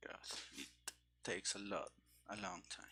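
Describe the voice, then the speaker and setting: A man's voice: low voice, unhurried, bright, forceful tone, breathy edge. A man muttering and thinking aloud in fragments as he gives casual commentary on live programming work. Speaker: programmer streaming game development.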